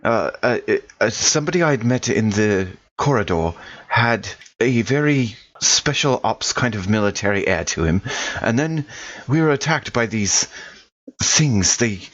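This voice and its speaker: A man playing a tabletop game, speaking in character with hesitant, halting delivery and many fillers. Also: mid-range voice, animated, fairly bright tone, dull audio. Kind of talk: in-character roleplay with out-of-character asides.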